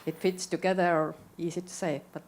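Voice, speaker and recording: A woman's voice: on the low side, little pitch movement, moderate pace, a little mellow. A woman in the audience asking a question, hesitant and searching for words.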